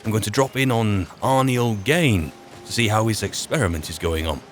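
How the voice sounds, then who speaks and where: A man roleplaying a musing, wry adventurer in a fantasy game, speaking in a halting, dry, reflective way. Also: lowish voice, expressive, slight background noise, lightly acted. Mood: musing, planning. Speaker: player narrating a roleplay. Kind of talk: in-character game roleplay monologue.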